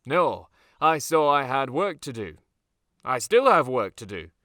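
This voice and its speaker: A man's voice: mid-range voice, very animated, unhurried, sharp tone, no room sound, voice pushed up. A proud young military commander, played by a male audiobook reader, speaks with pompous authority to his troops. He projects in a raised, oratorical manner, sounding vain and sure of himself. The sound is very dry, with little room to it. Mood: rousing, haughty. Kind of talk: audiobook character dialogue.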